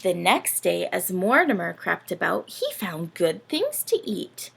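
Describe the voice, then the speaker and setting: A woman reads a children's picture book aloud with very animated intonation. Her pace is unhurried, with drawn-out dramatic phrases, and her voice has a gravelly creak. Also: on the low side, slight background noise. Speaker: children's story reader.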